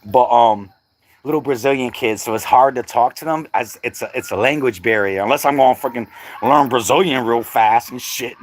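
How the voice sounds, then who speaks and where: A middle-aged man chatting casually on a livestream, laid-back and slangy, laughing often. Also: mid-range voice. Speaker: livestream host.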